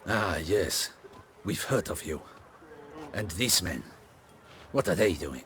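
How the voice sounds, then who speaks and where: An actor playing a stern, condescending city guard officer in a fantasy video game, deliberate and authoritative. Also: male voice, sits low, lively intonation, fairly bright tone, a little hiss or hum, full character voice. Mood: stern, knowing. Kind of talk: video game cutscene acting.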